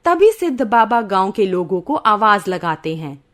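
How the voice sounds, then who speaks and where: A woman narrating a scripted bedtime moral story in an expressive, dramatic storytelling style. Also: medium pitch, moderate pace. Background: faint background music.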